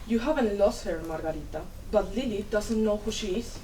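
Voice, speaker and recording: A woman's voice: mid-range voice, a little fast, close to an everyday voice. Woman as nurturing, insistent Cuban wise woman for stage drama, oracular delivery, slightly distant sound over faint noise. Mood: firm, consoling. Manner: firm, gentle, oracular, with Spanish endearments. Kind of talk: dramatic play reading.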